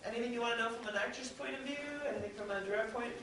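A woman's voice: on the high side, fairly even intonation, very fast, a bit bright. Chatty woman panelist in a very echoey room. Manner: chatty, animatedly mimics others in quoted speech. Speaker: podcast producer speaking as panelist. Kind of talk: unscripted panel talk to an audience. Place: convention panel room with an audience. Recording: very echoey room, distant mic, faint noise.

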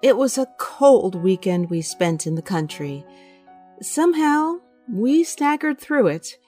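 An actress playing a guilty, scheming well-bred wife who confides in a measured, wry way in a radio drama. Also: female voice, fairly high pitch, big pitch swings, warm tone, faint music behind. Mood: wry confession. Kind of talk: radio drama acting with confessional narration.

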